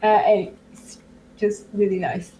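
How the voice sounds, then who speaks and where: A woman whose first language is Turkish, speaking as a guest in a casual tech demo. She talks haltingly, with plenty of fillers and little laughs. Faint steady noise sits under the voice. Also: mid-range voice, rough recording. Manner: hesitant, many fillers, laughs often. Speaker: guest developer advocate.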